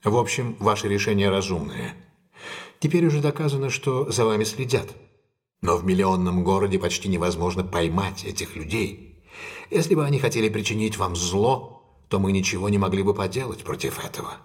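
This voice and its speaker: An actor playing a shrewd, self-possessed gentleman detective in an audio drama, measured and authoritative. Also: low voice, lightly acted. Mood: thoughtful, assured.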